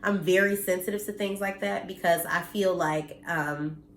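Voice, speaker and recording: A woman's voice: on the low side, fairly even intonation, moderate pace, reverberant. A woman in her thirties from the United States, slightly nasal and congested from allergies, talking earnestly and bluntly to her viewers from home, cursing now and then. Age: 30s. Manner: earnest and blunt, mildly preachy, occasional cursing. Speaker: vlogger. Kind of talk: casual direct-to-camera talk.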